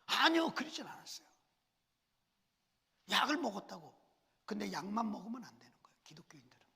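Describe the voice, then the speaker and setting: A senior pastor preaches while fighting a cold and a cough. His voice is gravelly and swings lively up and down as he asks the congregation questions. It rings out with a long church reverb. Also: high-pitched, brisk. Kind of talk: sermon.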